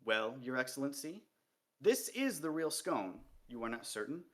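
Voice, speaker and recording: A man's voice: medium pitch, very animated, moderate pace, natural voice. A man reading a novel aloud and voicing a patient male dwarf king who speaks in a comfortable, sing-song way. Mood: calm.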